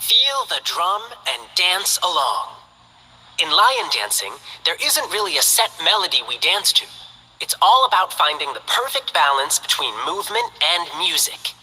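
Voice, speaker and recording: An earnest young lion dancer with an upbeat, friendly manner. His husky voice is very bright and fairly high, with a lively melody. The game audio carries a long, lingering reverb. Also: normal speed, heavily acted. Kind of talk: game character voice lines.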